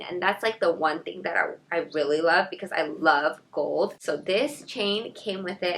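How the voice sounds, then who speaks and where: Twenties female vlogger, mid-pitched and raspy with some fry, upbeat and chatty, recorded on a camera across the room. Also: fairly bright tone, slight background noise. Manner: gushing, upbeat, lots of "like" fillers. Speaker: beauty and fashion vlogger. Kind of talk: casual unboxing vlog. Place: bedroom, indoors.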